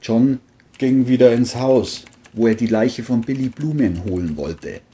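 A woman's voice: extremely low pitch, a little slow, mellow. A woman narrating a scripted crime story.

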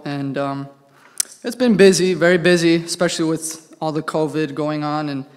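Young American man, conversational preacher, higher-pitched, clear voice, lectern mic, reverberant sanctuary. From United States. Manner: relaxed, personal, with frequent filler words. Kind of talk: conversational sermon. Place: church sanctuary. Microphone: lectern microphone. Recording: long hall reverb in a church.

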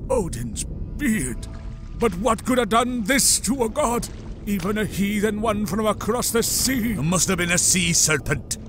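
Uneasy Viking villager for a comic drama, male actor; emphatic, slightly high voice over music. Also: animated, unhurried. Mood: shocked. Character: rough, blunt Norse village man, uneasy and excitable. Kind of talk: dramatized comic book acting.

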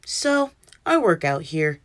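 A woman's voice: deep voice, sing-song, slow pace, exaggerated voice. A woman voicing a friendly, lonely atom in a children's storybook, speaking earnestly.

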